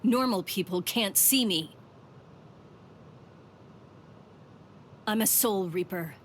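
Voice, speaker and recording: A woman's voice: mid-range voice, moderate pace, slight background noise, close to an everyday voice. An actress playing a proud, imperious young female supernatural warrior in an anime game, speaking formally. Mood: indignant, haughty. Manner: haughty, formal, commanding. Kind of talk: anime video game voice acting. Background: game music.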